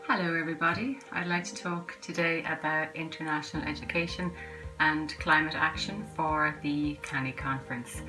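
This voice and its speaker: Female conference speaker, deep register, prepared address with clear music underneath. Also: fairly even intonation, moderate pace, poor audio. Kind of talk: prepared talk to camera.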